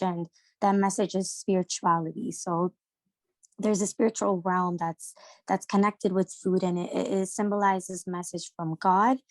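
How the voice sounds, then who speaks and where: Young woman guest, low monotone, dry close sound, hesitant delivery with lots of fillers. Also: no room sound. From Sri Lanka. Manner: hesitant, many fillers and restarts. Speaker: podcast guest. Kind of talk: casual discussion.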